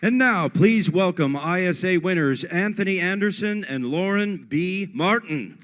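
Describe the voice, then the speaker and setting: A man's voice: high-pitched, lively intonation, unhurried, a crowd in the background. A man working as an announcer at an awards show, bringing presenters to the stage in a scripted style. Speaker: ceremony announcer. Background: loud music.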